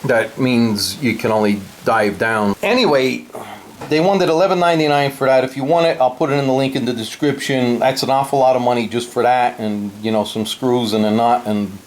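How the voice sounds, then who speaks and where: A man's voice: mid-range voice, fairly quick. A man narrating a casual do-it-yourself tutorial in a plainspoken, informal way, explaining things step by step. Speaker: host of a DIY guitar how-to video.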